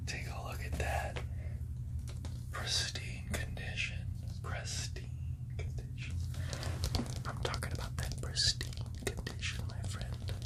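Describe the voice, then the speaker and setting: Slow, whispery man doing ASMR. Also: poor audio. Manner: unhurried, repeats phrases slowly, casual salesman patter. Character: laid-back friendly hippie record store clerk. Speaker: ASMR role-play host acting as a record store clerk. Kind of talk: ASMR role-play, soft-spoken and whispering.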